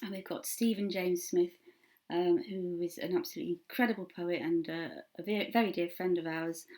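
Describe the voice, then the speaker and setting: A woman's voice: fairly low pitch, fairly even intonation, brisk, darkish tone. A woman co-hosting a show in casual chat, hesitant but friendly and effusive as she introduces performers. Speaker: co-host.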